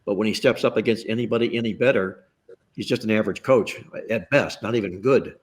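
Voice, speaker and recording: A man's voice: fairly low pitch, fairly quick. A man hosting a sports betting podcast roundtable, chatty and stat-heavy, joking along the way while he is losing his voice. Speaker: podcast host.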